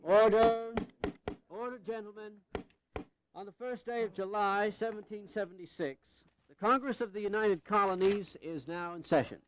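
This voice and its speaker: High-voiced, officious congress president. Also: male voice, moderate pace, a bit bright, slight background noise, poor audio. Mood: official. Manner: declamatory, officious. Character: formal, flustered presiding officer of colonial congress. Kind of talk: historical audio drama acting.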